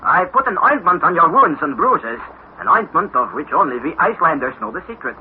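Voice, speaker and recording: Caring icelandic guide, formal and reassuring, old radio play, thin hissy recording. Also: male voice, on the high side, big pitch swings. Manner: soothing, deliberate, formal phrasing. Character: kindly, calm Icelandic guide nursing an injured companion. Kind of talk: 1960s radio drama acting. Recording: tinny, noisy vintage radio recording.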